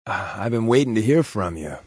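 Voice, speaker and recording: An actor playing a wry, secretive spy in a video game, with a glib, offhand, conversational delivery. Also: male voice, fairly low pitch, lively intonation, brisk, husky, dry recording, clean studio sound. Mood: sly, casual. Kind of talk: video game companion dialogue.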